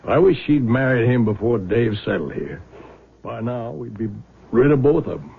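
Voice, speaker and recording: An actor in a 1970s radio drama playing a frank, folksy older father and small-town prosecutor with a gravelly voice. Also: medium pitch, lively intonation, normal speed, narrow, tinny audio, a little hiss or hum. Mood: resigned, fond. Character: plain-spoken small-town prosecutor, fond protective old father. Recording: band-limited vintage radio broadcast.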